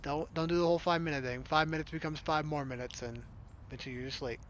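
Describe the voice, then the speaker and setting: A man's voice: a little high, steady tone, fast talker, noisy background. A man reading a visual novel aloud as a let's player, in a casual first-person manner. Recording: loud background music under voice.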